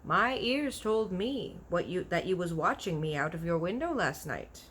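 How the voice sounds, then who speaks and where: A woman's voice: lowish voice, animated, normal speed, a bit bright, slight background noise. A woman voicing a kindly, big-eared storybook giant who is earnest, proud and easily offended, talking in folksy broken grammar with invented words. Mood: wonder, earnest. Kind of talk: animated children's storybook character voice.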